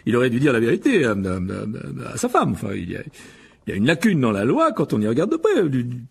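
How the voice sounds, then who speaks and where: A man voicing a sheepish, excitable middle-aged wizard father who stammers and backtracks, for an audiobook. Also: fairly high pitch, a little fast, vocal fry, slightly rough, full character voice. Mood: guilty, flustered.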